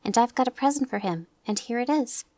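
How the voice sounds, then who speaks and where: An eager young boy pipes up high and fast in a storybook reading, put on by a woman. The sound is dry and close. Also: animated, no echo, lightly acted. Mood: earnest. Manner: eager and bright. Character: excited young boy, leader of friends.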